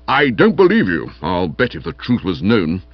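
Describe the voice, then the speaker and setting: A man's voice: fairly high pitch, huge pitch swings, normal speed, lo-fi sound, pitched above the performer's own voice. A man voicing a stern, peevish young constable in a comic audiobook, laying down the law in accusing pronouncements. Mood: bitter, morose. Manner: hectoring, morose, clipped pronouncements.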